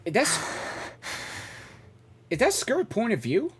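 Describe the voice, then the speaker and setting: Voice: medium pitch, wildly swooping pitch, slow pace, noisy background. A game streamer giving casual reaction commentary and reading dialogue aloud.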